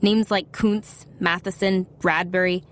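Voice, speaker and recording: A woman's voice: fairly low pitch, fairly even intonation, a little slow, fairly bright tone. A woman playing a troubled, bookish American schoolgirl who narrates her story reflectively in an audio drama. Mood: reflective, confiding. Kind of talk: scripted first-person drama narration.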